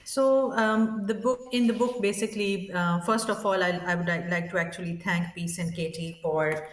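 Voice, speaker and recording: A woman in her thirties, raised in Pakistan, tells her story as a podcast guest, pausing often and restarting her sentences. She sits some way from the microphone in a roomy space, and her voice keeps a middle pitch. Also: fairly even intonation, far from the mic. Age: 30s. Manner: hesitant, many fillers and restarts. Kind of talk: podcast interview.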